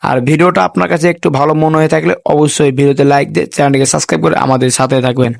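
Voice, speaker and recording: A man narrates step-by-step instructions to viewers at a rapid clip. His voice sits a little high for a man, and he mixes English words into repeated stock phrases. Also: steady tone, creaky, no room sound, studio quality. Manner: repetitive set phrases, peppered with English words. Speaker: tech tutorial host. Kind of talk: instructional voice-over addressing viewers.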